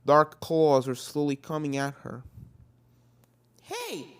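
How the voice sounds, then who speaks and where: A storyteller reading fan fiction aloud in a dramatic scripted narration style, at a medium pitch. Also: normal speed. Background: video game music.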